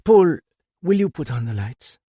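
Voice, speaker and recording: A man voicing a weary, high-strung older Frenchwoman who blames herself, speaking quietly and haltingly before passionate outbursts. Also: very animated, slightly rough, no room sound, clean studio sound, heavily acted.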